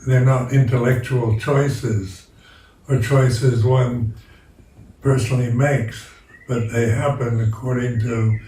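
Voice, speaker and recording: Slow, halting older monk, echoey room. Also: male voice, mid-range voice, a little mellow, recorded from a distance, slight background noise. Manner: slow, halting, with many repeated words. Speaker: Buddhist monk teacher. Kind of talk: reflective dharma talk.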